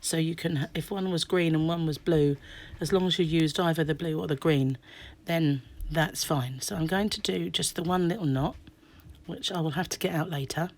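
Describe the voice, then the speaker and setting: Low-voiced woman, slightly croaky. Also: steady tone, moderate pace. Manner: chatty, dips to soft half-whispers while working. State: croaky sore throat. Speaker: crochet teacher. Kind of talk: hands-on craft tutorial.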